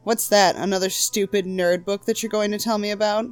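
A snappish, rebellious young teenage girl who brushes everyone off, as a woman puts her on while reading a story aloud. Her voice sits in an ordinary middle pitch. She talks back with curt, dismissive lines. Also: fairly even intonation, brisk, smooth, faint music behind, natural voice. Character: rebellious thirteen-year-old girl, sharp-tongued.

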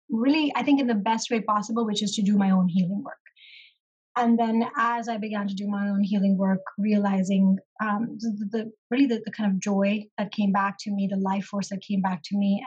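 Middle-aged woman from Pakistan, therapist guest, husky voice, muffled call audio, thoughtful with lots of ums. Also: mid-range voice. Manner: thoughtful, many ums and soft pauses. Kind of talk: casual interview conversation.

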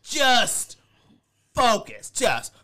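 A podcast co-host who describes their own voice as deep, talking in loose, joking banter. The tone is bright and forceful, and the delivery gets animated when riffing on a bit. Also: drawn-out words.

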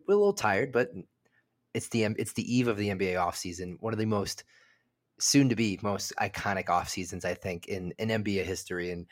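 A man from Illinois co-hosting a sports podcast, talking in quick, rambling runs. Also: fairly low pitch. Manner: rambling, quick, lots of restarts. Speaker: podcast co-host. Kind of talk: casual sports podcast chat.

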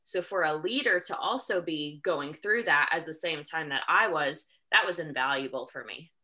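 A woman's voice: sits low, moderate pace, bright, forceful tone. A young woman in her twenties, a gym coach, answering interview questions with earnest enthusiasm.

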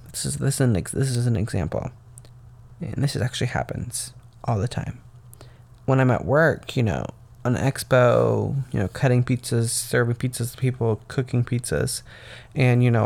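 A young man talking through his own podcast in a loose, rambling way, his voice gravelly and a little raspy. He sounds tired, as if he got up before dawn. His pitch sits in an ordinary middle range for a man. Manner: rambling, meandering talk full of "um" and "like". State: tired from waking very early. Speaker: podcast host. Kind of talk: casual solo podcast monologue.

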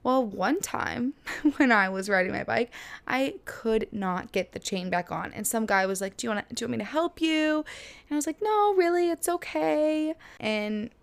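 A woman in her twenties from Florida, telling a casual story in a chatty, lively way with many fillers and laughs. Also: a little high, moderate pace, vocal fry. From Florida, USA. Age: 20s. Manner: chatty, animated, lots of "like" and laughs. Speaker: vlogger.

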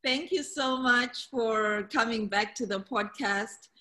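A woman's voice: fairly high pitch, takes it slowly, bright, forceful tone. A woman hosting a podcast, introducing the show and chatting with a guest in an interview style. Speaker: podcast host.

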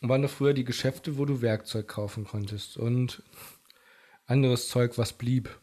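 Slightly hoarse male podcast co-host, velvety tone, deadpan absurd humour, studio mic. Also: normal speed. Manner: deadpan absurd jokes, low velvety delivery. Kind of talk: casual banter. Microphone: studio mic through mixing desk.

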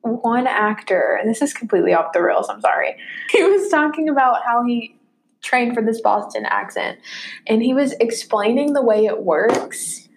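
A woman's voice: fairly high pitch, lively intonation, normal speed, slight background noise, low-quality recording. A woman from Tennessee with a Southern accent, co-hosting a podcast in a casual, wry, self-mocking chat. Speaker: podcast co-host.

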